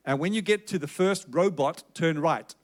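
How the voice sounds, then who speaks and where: A man voicing a newly arrived South African man giving directions, with a South African accent, speaking haltingly with fillers. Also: on the high side, close to an everyday voice. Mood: helpful.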